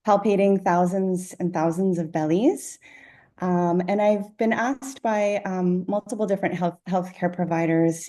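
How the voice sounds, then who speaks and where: A woman's voice: lowish voice, mellow. A woman, a midwife, enthusiastically presenting her workshop over a video call. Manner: enthusiastic, upbeat. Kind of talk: casual presentation.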